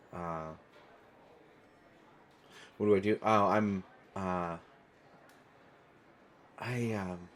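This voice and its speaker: A man's voice: low-pitched, slight background noise. A man playing a shy, awkward, kind stranded traveler in an audio roleplay, hesitant, stammering and apologetic. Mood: hesitant, wistful.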